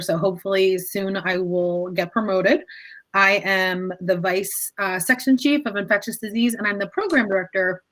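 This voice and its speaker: A woman's voice: medium pitch, fairly bright tone, poor audio. A woman in her thirties, a doctor introducing herself on a video call panel in an upbeat, chatty way. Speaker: panelist, infectious disease doctor.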